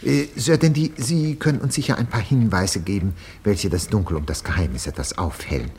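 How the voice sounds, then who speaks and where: An actor playing an unctuous, sensation-hungry radio reporter in a 1950s German radio play, theatrical in delivery. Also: male voice, on the low side, a little fast, slightly rough. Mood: politely intrusive. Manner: theatrical, swinging from declaiming to hushed confiding.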